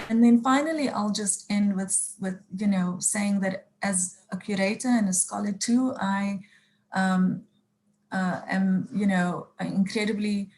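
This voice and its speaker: A woman's voice: mid-range voice, a little mellow, slight background noise, rough recording. A woman from South Africa with a South African accent, a museum curator giving prepared remarks and questions on a panel, hesitating often with fillers and restarts. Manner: halting, many fillers and restarts. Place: online panel event.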